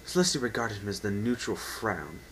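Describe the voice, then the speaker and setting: Low-voiced man reading a story theatrically. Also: expressive, brisk, a little hiss or hum, low-quality recording. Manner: theatrical, emphatic delivery. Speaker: narrator. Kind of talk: unedited dramatic story reading. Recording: amateur home recording with faint whine.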